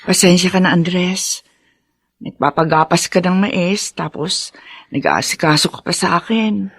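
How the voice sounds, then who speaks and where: A woman's voice: on the low side, unhurried, slightly rough. An actress playing a lonely, earnest middle-aged woman in a Filipino radio drama, speaking with a heartfelt, sighing manner. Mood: weary, grateful.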